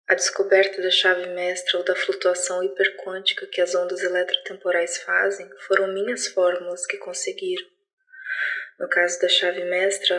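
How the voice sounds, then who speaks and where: A woman playing an even-toned, lonely alien lizard patroller. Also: lowish voice, rough recording. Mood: proud, aggrieved. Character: lonely alien lizard patroller, gifted, wistful, keeping a log. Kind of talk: scripted audio drama diary monologue.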